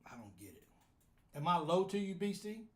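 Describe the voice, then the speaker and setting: A man's voice: high voice, big pitch swings, normal speed, a bit bright. Guy running a fan show, laid back, keeps fussing with his audio. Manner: flustered, troubleshooting audio mid-show. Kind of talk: casual live-stream chat.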